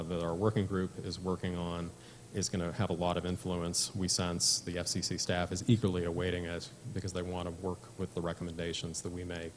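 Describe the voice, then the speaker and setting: Man, deep voice, monotone, conference policy talk, faint room hum. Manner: measured, frequent fillers. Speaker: conference speaker. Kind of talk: policy presentation.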